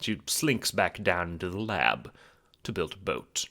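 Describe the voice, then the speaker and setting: A man's voice: low-pitched, lively intonation, unhurried, vocal fry, sharp tone. A man narrating a scripted audio drama in a theatrical, wry, rhetorical storytelling style. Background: music.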